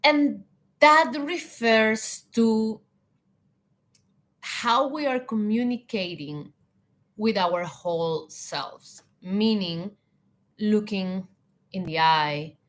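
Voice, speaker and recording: A woman whose first language is Spanish, giving earnest leadership advice in English with pauses and restarts. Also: medium pitch. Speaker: hospitality leadership coach. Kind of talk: talking to camera.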